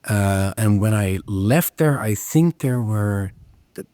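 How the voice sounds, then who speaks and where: A man with a very low voice talks as a guest on an interview podcast. He speaks haltingly, often stopping on "uh" and starting sentences over. The recording is dry and close. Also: lively intonation, mellow, dry recording. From Netherlands. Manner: halting, with frequent "uh"s and restarts. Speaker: guest, pastry chef. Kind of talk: casual interview chat.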